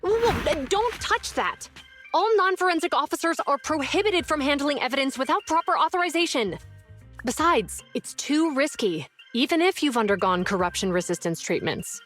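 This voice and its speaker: Game role: a brisk, dutiful young policewoman, lively pitch. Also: faint music behind. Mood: earnest. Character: earnest, dutiful young female police officer. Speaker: public security officer, Zhu Yuan.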